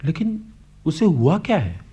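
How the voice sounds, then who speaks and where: An actor playing a kindly, concerned middle-aged postman in a Hindi radio play, speaking gently and coaxingly. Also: on the high side, huge pitch swings, a little slow, husky, heavily acted. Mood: shocked sympathy.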